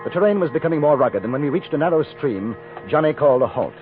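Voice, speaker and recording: An actor playing a composed, wry English former cavalry captain, measured and articulate, in a 1950s radio drama with a British accent. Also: male voice, fairly high pitch, lively intonation, narrow, tinny audio, lightly acted. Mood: reflective, watchful. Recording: band-limited vintage radio recording with music.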